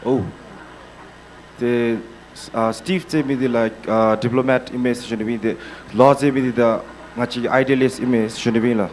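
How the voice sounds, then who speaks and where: A mid-pitched man calls a live fighting-game match. He stays relaxed and switches between two languages, breaking into excited shouts at big moves, with faint ambience behind him. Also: normal speed, slight background noise, poor audio. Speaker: match commentator.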